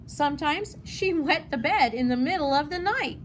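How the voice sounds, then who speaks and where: A woman voicing a gentle, caring mother of young girls in a storybook. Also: sits high, animated, normal speed, slight background noise, voice pushed up. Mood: amused, fond.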